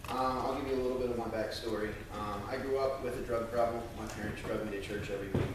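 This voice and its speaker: A man's voice: on the low side, fairly even intonation. Echoey, distant American man speaking in church. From United States. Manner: halting, hesitant, earnest. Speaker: church member giving testimony and scripture reading. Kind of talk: personal testimony and scripture reading to a congregation. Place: chapel, speaking to a congregation. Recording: very echoey room, distant mic, faint noise.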